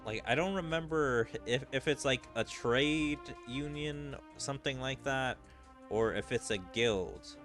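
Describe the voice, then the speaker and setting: A man's voice: mid-range voice, measured pace, fairly bright tone. Man doing casual gaming commentary over music. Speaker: gaming streamer reading game dialogue aloud. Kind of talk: casual let's play commentary with read-aloud dialogue. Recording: background game music under the voice. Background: game music.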